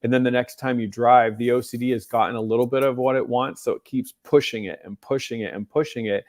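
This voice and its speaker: A man's voice: medium pitch, fairly even intonation, quick pace, darkish tone, no room sound, clean studio sound. An American man hosting a question-and-answer livestream, speaking conversationally and earnestly, with emphatic repeated lists as he mixes personal testimony and advice. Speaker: mental health advocate hosting a livestream Q&A.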